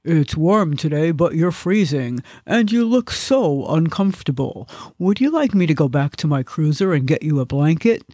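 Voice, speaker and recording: A woman playing a tall, concerned male police officer in a comic audiobook, speaking in a steady, official way. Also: low-pitched, moderate pace, few pauses, husky, no room sound, clean studio sound. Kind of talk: comic audiobook character acting.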